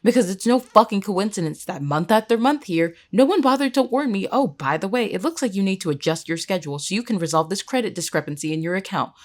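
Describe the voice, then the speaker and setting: Woman acting bitter, low-voiced young female student. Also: expressive, fast talker, hardly any pauses. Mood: furious, despairing. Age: young adult. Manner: sarcastic, emphatic, swearing. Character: determined, embittered young female student, sharp-tongued. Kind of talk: dramatic audio roleplay acting.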